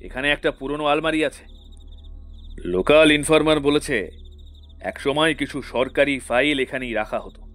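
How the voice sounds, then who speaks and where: An actor playing a sober male police investigator in an audio thriller, speaking in a serious, measured way. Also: on the high side, a bit bright, natural voice. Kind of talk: Bengali audio thriller acting. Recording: voice over music bed.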